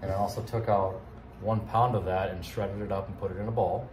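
A relaxed man in his thirties with a fairly low voice walks through a recipe in a home kitchen. He is recorded on a phone camera across the counter, with a faint hum of room sound behind him. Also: brisk, low-quality recording. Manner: relaxed, talks while cooking, step by step. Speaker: cooking show co-host. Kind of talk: casual cooking demo. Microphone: phone camera at counter distance.

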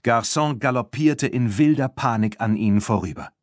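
A man narrating a children's audiobook in an animated storytelling manner. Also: mid-range voice, moderate pace, dry recording, clean studio sound. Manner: animated storytelling, acting out dialogue.

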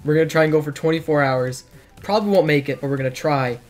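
A teenage boy, hoarse from hours of streaming, chatting casually and rambling while reacting to his chat in a dim bedroom. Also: a little high, fast, faint music behind.

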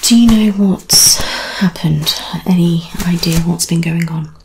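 Woman acting methodical British female forensic officer, unhurried, soft half-whisper, vocal fry, ASMR roleplay. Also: on the low side, a little hiss or hum, poor audio. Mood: soft, composed. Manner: soft, measured, partly whispered. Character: brisk, methodical female crime scene investigator.

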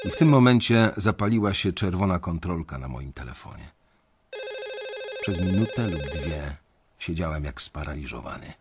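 An actor playing an anxious young banker who narrates his own story in a confiding, measured, dramatic way in an audio drama. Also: deep voice, expressive, background noise. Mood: reflective, uneasy. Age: young adult.

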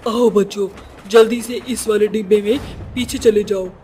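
A man's voice: sits high, steady tone, normal speed, warm tone, slight background noise, natural voice. A man voicing a self-sacrificing female witch in a horror cartoon, speaking in an earnest, dramatic way. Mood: urgent, resolute. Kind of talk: Hindi horror cartoon acting.

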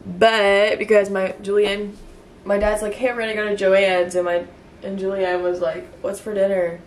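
A woman's voice: medium pitch, level tone, normal speed, slight background noise, rough recording. A young woman vlogging in her home kitchen, groggy from a nap, chatting and giggling as she rambles. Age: young adult. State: groggy after a nap, voice deeper. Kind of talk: casual chat. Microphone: camera on a counter.

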